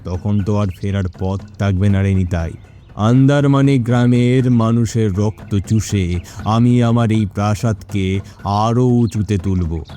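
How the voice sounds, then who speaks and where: A man playing a greedy, scheming, cruel village boss in an animated moral story, speaking in a smug, gloating, deliberate manner. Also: fairly low pitch, soft background music, lightly acted. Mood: menacing, gloating.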